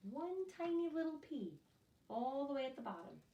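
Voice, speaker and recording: A woman storyteller reads a picture book to small children with a very lively, swooping delivery. She breaks off to put questions straight to her young listeners. The sound is roomy and echoing, a little away from the microphone. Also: sits high, normal speed, muffled recording. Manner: expressive, addresses children directly with questions. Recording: echoey room, distant microphone.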